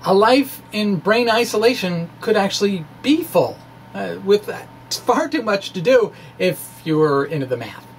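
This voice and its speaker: Man musing haltingly, pitch swinging widely. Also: lively intonation, a little hiss or hum. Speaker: science blogger and presenter. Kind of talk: dramatic reading of a science essay with ad-libbed asides.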